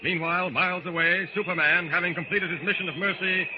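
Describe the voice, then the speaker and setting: A man's voice: high voice, steady tone, quick pace. A man delivering dramatic scripted narration for a radio serial in a 1940s style. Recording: very noisy, dull old radio recording.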